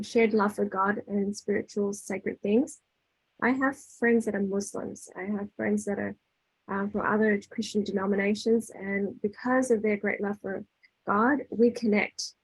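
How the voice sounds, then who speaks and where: A woman speaks in a mid-range voice with a slightly husky edge, recorded close and dry. She leads a spiritual talk in a casual, conversational way, slipping into bits of dialogue and often checking that listeners follow. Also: mid-range voice, dry recording, poor audio. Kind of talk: casual inspirational talk with anecdotes.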